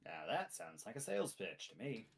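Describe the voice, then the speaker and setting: A man's voice: mid-range voice, very animated, fairly quick, creaky, husky. A man in his late twenties chatting casually, adding dry, wry jokes while helping a friend write a campaign. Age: 20s. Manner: dry, wry joking asides. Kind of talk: casual chat.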